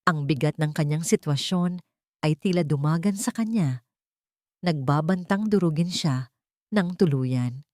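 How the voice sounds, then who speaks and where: Low-pitched woman narrating a dramatic story. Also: a little mellow, no room sound, clean studio sound.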